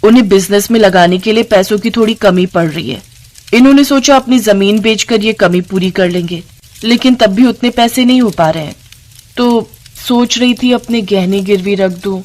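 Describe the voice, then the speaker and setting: A woman's voice: medium pitch, moderate pace, a little mellow, close to an everyday voice. A woman voicing a greedy, nagging, scheming village wife who can turn wheedling, in a narrated story. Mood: sweetly wheedling. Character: sharp-tongued, greedy, scheming village wife and sister-in-law. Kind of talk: acted dialogue in a narrated story.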